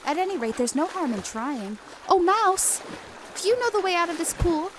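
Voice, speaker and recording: Polite, rambling young girl, high-pitched and earnest, audio drama, faint noise behind. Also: very animated, normal speed. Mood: curious, hopeful. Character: polite, chatty, eager young girl, easily apologetic.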